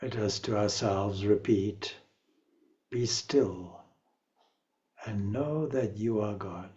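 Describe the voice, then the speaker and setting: A man leading a guided meditation as a spiritual teacher, speaking calmly and in a measured way with long pauses. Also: fairly low pitch, muffled recording.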